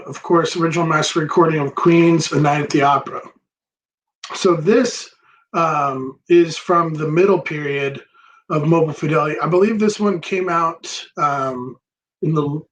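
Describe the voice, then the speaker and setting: Relaxed man with a higher voice. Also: poor audio. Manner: relaxed, rambling, many ums. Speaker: record store host. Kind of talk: casual chat about vinyl records.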